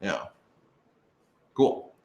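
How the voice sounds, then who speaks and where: A man's voice: very slow, muffled recording. A man chatting casually on a question-and-answer panel as an audio drama's writer, rambling as he thinks aloud. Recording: roomy, echoey room sound.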